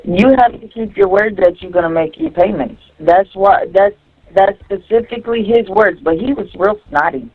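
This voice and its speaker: A woman's voice: mid-range voice, normal speed, breathy edge. A dull, lo-fi phone recording carries a woman's voice. She talks casually and lays things out step by step, as if giving instructions. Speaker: caller on a jail phone call. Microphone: telephone.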